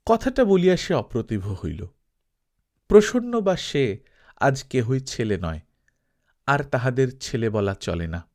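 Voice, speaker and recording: A man narrating a literary story from a script as an audiobook reader, in an expressive storytelling style. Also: mid-range voice, slow pace, long pauses.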